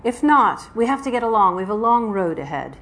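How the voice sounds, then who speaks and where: A woman's voice: medium pitch, very animated, fairly quick, fairly bright tone. Woman reading as guarded old wagon driver. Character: old male wagon driver, guarded, plain-spoken and protective. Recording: noisy live event room recording.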